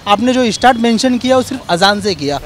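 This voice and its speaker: A man's voice: extremely high pitch, animated, fast. A man with an Indian accent arguing his opinion emphatically and repetitively in a street interview. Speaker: member of the public giving opinion. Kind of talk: argumentative vox pop opinion.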